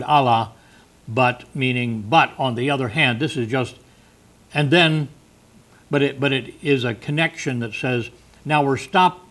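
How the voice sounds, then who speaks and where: Male Bible teacher, mid-pitched voice, loose unscripted delivery with frequent "uh"s, reading verses aloud between explanations. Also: a bit bright. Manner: unscripted, many fillers and restarts, reads verses aloud.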